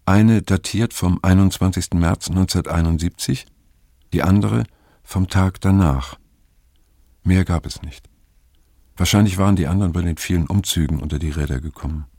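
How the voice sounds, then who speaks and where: Radio drama narration by an older man looking back on his life. The voice is low and gravelly, with a husky edge. He speaks in measured, pensive fragments, haunted and reflective. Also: darkish tone, no room sound, studio quality. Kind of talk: scripted radio drama narration, introspective monologue.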